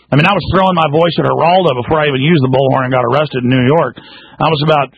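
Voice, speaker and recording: A man hosting a call-in radio talk show, talking loudly and forcefully, speeding up when excited. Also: on the high side, rapid-fire, breathy edge, dry recording, dull audio.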